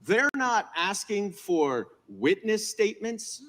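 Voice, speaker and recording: A male politician making an accusatory, deliberate speech at a hearing, repeating phrases for force. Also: high-pitched, sing-song, a bit bright. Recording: reverberant hearing room.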